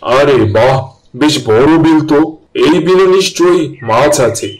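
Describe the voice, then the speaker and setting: A man playing an earnest, kindly village husband and fisherman in a fairy-tale cartoon, with an expressive, exclaiming delivery. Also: a little high, unhurried, low-quality recording. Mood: curious.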